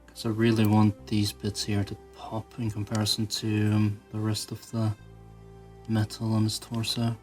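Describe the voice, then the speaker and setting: A man's voice: lowish voice, completely flat delivery, normal speed, a little mellow, faint music behind. A man giving a casual hobby tutorial, thinking aloud informally as he works. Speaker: toy customizer presenting own channel.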